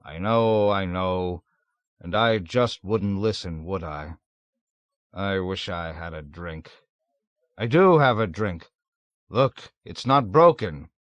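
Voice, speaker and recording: A man's voice: low-pitched, slow pace, pauses often, dry recording, lo-fi sound. Male reader as a jovial drunk army driver, brash, careless, dry close audiobook sound. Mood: rueful. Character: boastful drunken adult male soldier driver.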